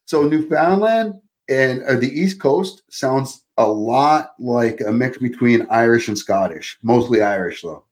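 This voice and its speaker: A man's voice: medium pitch, lively intonation, a little slow, few pauses. A man from Canada chatting casually as a podcast guest, with lots of fillers. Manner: chatty, laughs easily, many likes and you-knows. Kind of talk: casual video-call chat.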